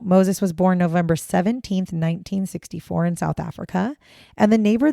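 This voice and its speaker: Hoarse, gravelly woman, low and dark. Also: dry recording, clean studio sound. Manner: chatty, blunt, casual swearing. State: hoarse, raspy voice after choking on water. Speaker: podcast co-host. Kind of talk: casual true-crime podcast chat.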